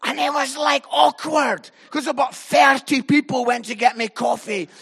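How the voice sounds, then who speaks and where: A man preaching in church, telling a funny story with loud punchlines and acting out the voices he quotes. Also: extremely high pitch, fairly even intonation, moderate pace, husky. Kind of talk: humorous sermon storytelling.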